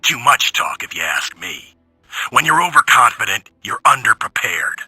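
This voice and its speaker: A sardonic robot soldier from a video game. The voice is sharp and raspy, gruff and full of wry complaint, recorded very dry and close. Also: male voice, mid-range voice, big pitch swings, normal speed, no room sound, soft background music, full character voice, poor audio. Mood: wary, grim. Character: grumbling, sardonic male robot soldier giving war reports. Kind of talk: video game audio log acting.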